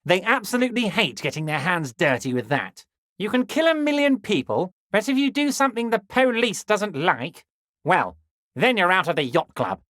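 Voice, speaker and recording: Smug, animated old union boss. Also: male voice, sits high, normal speed, dry recording, studio quality. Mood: knowing, needling. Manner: chummy, mock-innocent, sly. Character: smug, wily, folksy corrupt union boss, older man. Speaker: voice actor. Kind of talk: video game dialogue acting.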